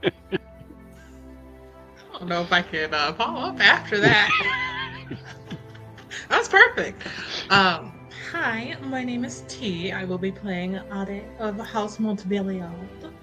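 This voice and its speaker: A woman's voice: medium pitch, expressive, takes it slowly, pauses often, music in the background, poor audio. A woman chatting casually as a player introducing herself at a tabletop game. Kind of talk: casual chat.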